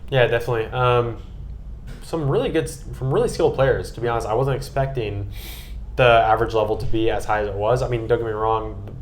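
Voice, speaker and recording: A man's voice: mid-range voice, fairly quick. An American man chatting casually and earnestly on a podcast, with an enthusiastic manner. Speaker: podcast guest and co-host recapping a trip.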